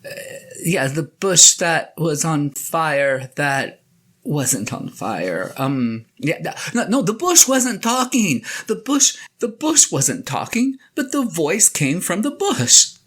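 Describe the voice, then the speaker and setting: A woman playing a sheepish, excitable eighty-year-old shepherd husband in an audio drama monologue, stammering and halting as he talks to his wife. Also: low voice, breathy edge, full character voice. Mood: flustered, earnest. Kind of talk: audio drama monologue, one side of a conversation.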